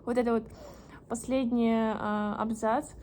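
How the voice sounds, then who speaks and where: A young woman whose first language is Russian, reading an English book aloud haltingly and stopping to puzzle over words. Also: fairly high pitch, steady tone, a little mellow, a little hiss or hum. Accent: Russian, reading English with a slight American tinge. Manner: slow, halting reading with restarts and puzzled asides. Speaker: vlogger.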